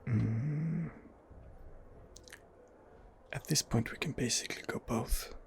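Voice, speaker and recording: A man softly narrating a video game in ASMR style, musing hesitantly as he thinks aloud. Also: medium pitch, takes it slowly, creaky, slight background noise. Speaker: gamer narrating a role-playing game playthrough. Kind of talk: whispered ASMR game commentary.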